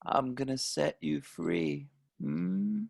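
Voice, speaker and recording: A man playing a quiet, sinister, terse killer in an amateur table read. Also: on the low side, very animated, slow pace, vocal fry, heavily acted. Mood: quiet menace.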